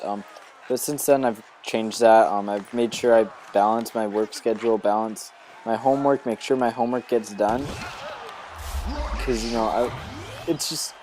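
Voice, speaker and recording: A man with a slightly hoarse voice from a cold, giving rambling, casual gameplay commentary full of ums and "you know" as the host of a gaming video. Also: medium pitch. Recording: steady background noise under the voice.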